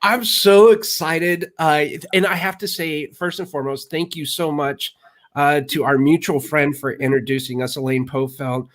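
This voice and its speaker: Male interview host, slightly high voice, eager and rambling. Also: moderate pace. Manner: excitable, rambling with restarts. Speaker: podcast host. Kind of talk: interview hosting.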